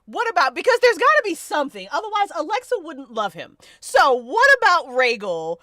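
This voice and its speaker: A woman hosting a casual panel chat, talking in an animated, slangy and emphatic way. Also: sits high, a little fast, no echo, clean studio sound. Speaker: host leading a fan discussion panel. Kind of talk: casual panel chat about a fiction podcast.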